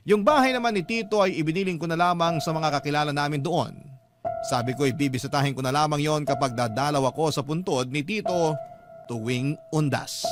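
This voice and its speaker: A man's voice: fairly high pitch, lightly acted. An actor playing an earnest young letter sender, narrating his ghostly past in a reflective, polite first-person radio drama style. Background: background music.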